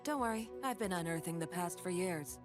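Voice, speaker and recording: A woman's voice: fairly low pitch, brisk, natural voice. A woman voicing a defiant, sardonic desert mercenary in a video game, with dry mockery. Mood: mocking. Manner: dry, mocking. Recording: music behind the voice.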